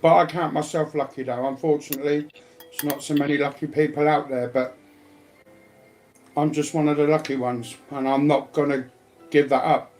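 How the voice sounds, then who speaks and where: Man chatting casually in a flat tone. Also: fairly high pitch, normal speed, faint music behind, low-quality recording. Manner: colloquial, repeats himself. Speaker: speaker sharing personal life news. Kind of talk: casual rambling chat.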